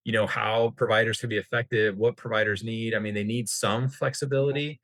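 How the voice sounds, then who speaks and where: Man, American accent, low pitch, dry close recording, informal expert discussion, rephrases often. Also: steady tone, a little fast, a bit bright, no echo. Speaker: interview guest, healthcare industry executive.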